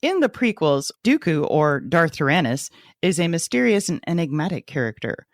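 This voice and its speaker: A woman's voice: deep voice, big pitch swings, moderate pace, no echo, studio quality. A woman co-hosting a book club podcast, talking in a conversational style. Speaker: podcast co-host. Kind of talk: casual book club discussion.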